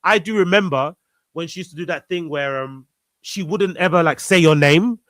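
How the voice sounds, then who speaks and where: A man's voice: on the high side, normal speed, crowd noise. A man in a casual conversation, earnest and reflective, repeating phrases for emphasis. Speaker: podcast guest.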